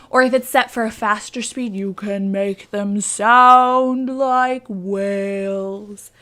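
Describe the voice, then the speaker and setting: A woman's voice: a little high, fairly bright tone. A woman presenting a children's story segment, explaining things simply and step by step as a show-and-tell.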